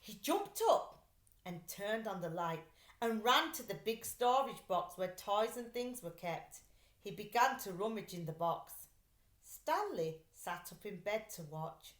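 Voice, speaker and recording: A woman reading a children's storybook aloud like a teacher, in an expressive, deliberate way. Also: mid-range voice. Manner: animated, deliberate storytelling delivery. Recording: roomy, echoey sound.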